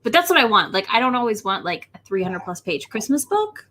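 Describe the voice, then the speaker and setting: A woman's voice: fairly high pitch, very animated, fairly quick, pushed and bright, a little hiss or hum. A woman hosting an online readalong, chatting casually and playfully teasing the others about books. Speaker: host of an online book readalong livestream.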